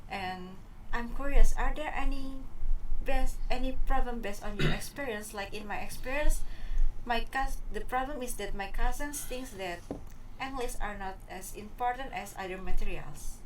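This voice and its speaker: A young woman from West Kalimantan with an Indonesian accent, hosting a casual student podcast in English. She asks curious questions and pauses often mid-sentence. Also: fairly high pitch. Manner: curious, halting. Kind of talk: casual chat. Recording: distant mic with faint noise.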